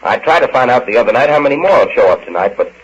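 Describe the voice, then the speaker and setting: An actor in an old-time radio drama playing an eager, casual informant on a muffled recording. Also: male voice, medium pitch, expressive, rapid-fire, husky. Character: eager married male informant, cocky but nervous.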